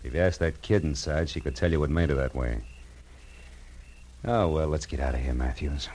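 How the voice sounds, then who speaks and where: A man's voice: a bass voice, moderate pace, very noisy. A man playing a world-weary, wry private detective in old-time radio noir. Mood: weary, brooding. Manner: wry, hard-boiled.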